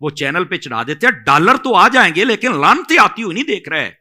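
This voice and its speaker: A man's voice: sits high, sing-song, brisk, pushed and bright. A man with a Pakistani accent giving religious advice in conversation as an Islamic scholar, emphatic and admonishing, often asking rhetorical questions.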